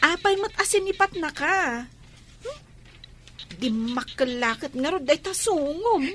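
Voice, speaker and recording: Radio drama: actress as assertive, caring businesswoman cousin, high-pitched, faint noise behind. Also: animated, unhurried, fairly bright tone. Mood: concerned. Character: caring, assertive shop-owning cousin, adult woman. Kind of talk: Ilocano radio drama acting.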